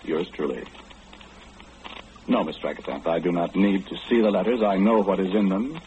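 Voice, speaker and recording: Male actor, fussy know-it-all gentleman, formal, matter-of-fact, low voice, vintage radio play, dull noisy recording. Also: moderate pace, natural voice. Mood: dictating, precise. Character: know-it-all gentleman who calmly knows everything. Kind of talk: old-time radio play acting.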